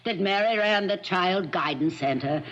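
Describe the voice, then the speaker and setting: An actress playing a brisk, authoritative female attorney formally questioning a witness in a 1970s radio drama. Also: lowish voice, fairly bright tone, slight background noise, lightly acted. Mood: stern, formal. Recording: dull lo-fi 1970s radio recording.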